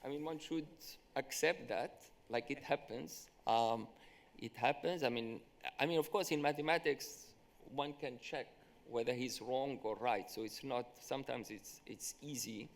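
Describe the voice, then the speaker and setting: A mathematician speaking on a symposium panel, answering questions in a hesitant way with many restarts and fillers. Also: male voice, medium pitch, measured pace.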